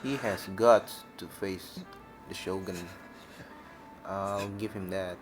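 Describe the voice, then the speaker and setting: A man's voice: sits low, fairly even intonation, slow pace. A man giving casual commentary while he plays a video game. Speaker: gamer. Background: game music.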